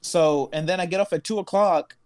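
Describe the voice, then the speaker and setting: A young man with a slightly raised pitch tells stories on a casual podcast. He is from Tanzania and talks in a loose, slangy way, laughing often between lines. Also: fairly quick, dry recording. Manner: laid-back storytelling, laughs often, slangy. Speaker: podcast co-host. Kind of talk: casual chat.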